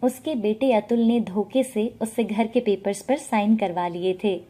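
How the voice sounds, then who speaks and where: A woman's voice: mid-range voice, fairly even intonation, unhurried, warm tone. A woman narrating a scripted moral story as a storyteller.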